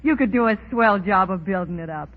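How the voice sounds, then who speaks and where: Actress as a wisecracking dame, heavily muffled recording. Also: female voice, mid-range voice, expressive, fairly quick, close to an everyday voice. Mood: coaxing. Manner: wry, streetwise. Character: wisecracking, worldly woman numbers-racket boss, fond but cynical. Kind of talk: 1930s radio drama acting. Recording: very muffled, noisy 1930s radio recording.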